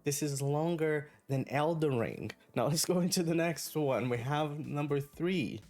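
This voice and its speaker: A man whose first language is Brazilian Portuguese, giving an online English pronunciation lesson in a clear, deliberate, encouraging way. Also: a little high, a little mellow, faint music behind. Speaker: English teacher.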